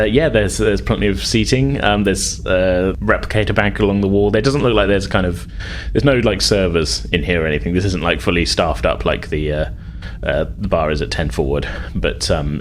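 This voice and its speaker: A man's voice: sits low, slight background noise. A man narrating a tabletop game as game master, informal and descriptive, with a hesitant, improvised delivery.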